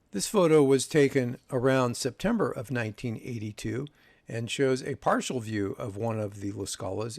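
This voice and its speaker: An older man in his sixties narrates a photo slideshow in a measured, reminiscing manner. His voice sits at a middling pitch with a lot of gravelly fry. The recording is very dry, and his delivery carries understated humour. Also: no room sound. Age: 60s. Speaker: video host, audio reviewer.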